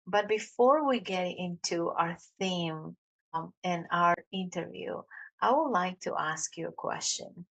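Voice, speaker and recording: A woman's voice: fairly low pitch, rough recording. A woman interview host with a Colombian Spanish accent, speaking English with frequent hesitations. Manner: warm, halting with many "um"s. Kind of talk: interview hosting.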